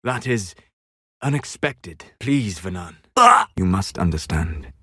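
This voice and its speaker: A man playing a calm, scholarly, melancholy elven mage in a video game, speaking in deliberate, formal phrases. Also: low-pitched, slightly rough, no room sound, studio quality, exaggerated voice. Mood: grave, measured. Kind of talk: scripted video game character dialogue.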